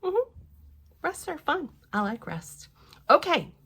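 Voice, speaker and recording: A woman reading a picture book aloud to children, expressive and playful, with gentle little asides. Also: high-pitched, takes it slowly. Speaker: library storytime reader.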